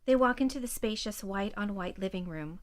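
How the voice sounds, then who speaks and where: A woman reading scripted present-tense narration for an audio drama in a measured, detached, descriptive way. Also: mid-range voice, lively intonation.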